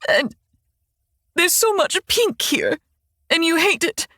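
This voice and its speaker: A woman voicing a tender, emotional young woman who is crying, in a soft, halting audiobook reading. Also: medium pitch, dry recording, studio quality, lightly acted. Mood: tearful. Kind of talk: audiobook character voice.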